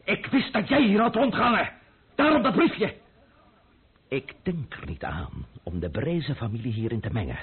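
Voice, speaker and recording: Blustering count, husky, wildly swinging pitch. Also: male voice, very animated, moderate pace, heavily acted. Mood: exasperated. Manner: pompous, sarcastic, commanding. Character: blustering, threatening French count, haughty royal loyalist. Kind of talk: Dutch radio play acting. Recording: muffled phone-like old radio recording.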